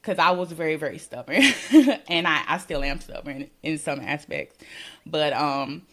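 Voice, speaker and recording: A woman with a notably low-pitched voice talks in a relaxed, conversational way. She reflects out loud, filling pauses with "you know" and "um" as she shares her experiences as a working mother. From Mississippi. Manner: reflective, thinks aloud with many "you know"s. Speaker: podcast guest, working mother. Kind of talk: casual conversation.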